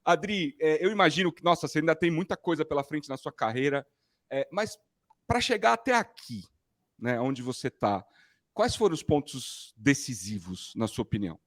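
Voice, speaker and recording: A man's voice: a little high, lively intonation, moderate pace. A Brazilian man interviewing in a casual, informal and affirming conversational way. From Rio de Janeiro, Brazil. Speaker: interviewer, host.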